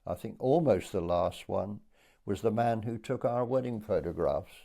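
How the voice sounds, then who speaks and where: Elderly Englishman, low creaky voice. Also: expressive, dark tone. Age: late 80s. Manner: hesitant, pausing, unhurried recollection. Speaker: oral history interviewee. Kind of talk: reminiscing in conversation.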